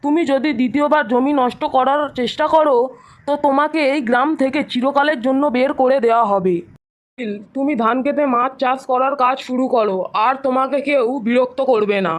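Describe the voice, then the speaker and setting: A woman voicing a stern but fair village headman in a cartoon, authoritative and measured. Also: high-pitched, fairly even intonation, natural voice. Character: stern, fair male village council head.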